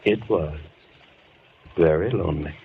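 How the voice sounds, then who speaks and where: A man's voice: sits low, a little slow. Sinister, formal madman on old radio. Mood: quietly menacing. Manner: menacingly polite, precise, formal. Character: sinister, lonely madman holding two women captive. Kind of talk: 1940s radio horror acting. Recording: muffled old radio recording with hiss.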